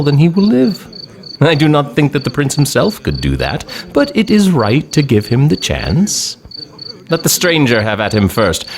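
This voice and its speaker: A pompous commander with wildly swinging pitch. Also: male voice, a little hiss or hum. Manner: pompous, formal oratory, barking orders. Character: stern male enemy commander, formal, ruthless, commanding. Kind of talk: fantasy audio drama acting.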